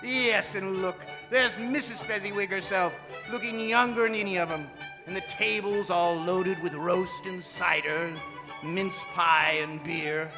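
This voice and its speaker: An actor playing a fearful, regretful old miser in a theatrical radio drama, stammering and exclaiming, with music under him in a reverberant hall. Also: male voice, high voice, steady tone, a little slow. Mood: joyful, nostalgic. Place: performing arts center stage.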